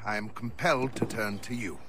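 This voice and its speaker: An actor playing a stern, brusque ship captain in a video game drama, with a commanding manner. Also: male voice, fairly low pitch, steady tone, fairly quick, lightly acted. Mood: grave, confiding. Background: music.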